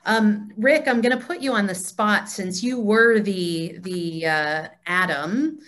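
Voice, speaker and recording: A woman's voice: medium pitch, takes it slowly, a bit bright. A woman leading an informal group discussion on a video call, encouraging and affirming as she teaches. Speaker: discussion leader of a temple group.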